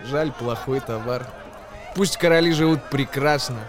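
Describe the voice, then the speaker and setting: A man's voice: medium pitch, unhurried. Declamatory man reciting verse over music. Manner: declamatory, expressive. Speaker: actor narrating a tale. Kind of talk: dramatic verse recitation for radio play.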